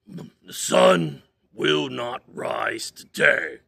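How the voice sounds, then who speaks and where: A man voicing a big, proud male bear who speaks gruffly and slowly, grumbling, in a told story. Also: medium pitch, sing-song, fairly bright tone, exaggerated voice. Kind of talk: oral storytelling.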